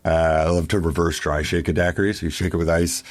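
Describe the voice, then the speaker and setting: A man's voice: low voice, fairly even intonation, quick pace. A man from Quebec with a Canadian English accent, talking casually as a podcast interview guest. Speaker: interview guest, bar owner and beverage director.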